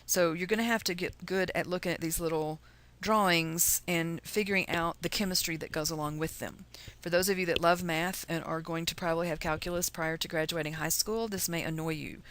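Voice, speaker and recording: Woman, Texan accent, slightly low voice; chatty, folksy teacher explaining things in an informal recorded lesson. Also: steady tone, brisk, few pauses, a bit bright. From Texas, USA. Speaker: chemistry teacher.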